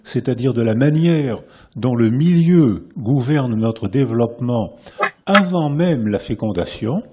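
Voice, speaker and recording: A man with a French accent giving a public lecture in a hall, lively and repetitive, often restarting his phrases. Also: mid-range voice, unhurried, slight background noise. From France. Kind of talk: public lecture to a live audience.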